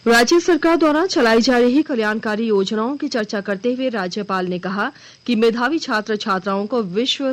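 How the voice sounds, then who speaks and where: A woman news anchor reading a scripted regional bulletin in a formal, measured manner. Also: a little high.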